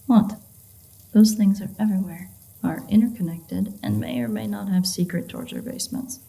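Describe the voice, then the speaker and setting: A woman's voice: medium pitch, expressive, moderate pace, gravelly, dark tone. A woman playing a sardonic, free-spirited, flirtatious female vampire in an intimate audio roleplay, teasing and swearing as she goes. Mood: sarcastic, teasing.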